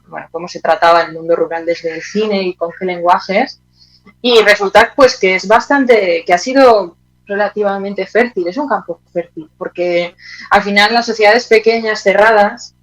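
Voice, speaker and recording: A woman's voice: mid-range voice, low-quality recording. A woman with an accent from Spain answers interview questions in a reflective, conversational way, searching for her words and adding little tag questions. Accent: Spanish from Spain. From Teruel, Aragon, Spain. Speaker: interview guest, playwright.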